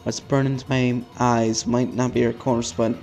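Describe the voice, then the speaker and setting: A man's voice: mid-range voice, flat delivery, normal speed, darkish tone. A man hosting a let's play, reading visual novel narration aloud dramatically and stumbling over the text now and then. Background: faint game music.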